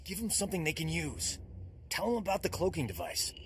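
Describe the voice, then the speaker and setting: A man's voice: fairly high pitch, sing-song, a little fast, a bit bright, slight background noise. A man acting as a cocky, flirtatious army medic in a video game, with a breezy, swaggering manner. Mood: protective. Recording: faint game music behind the voice.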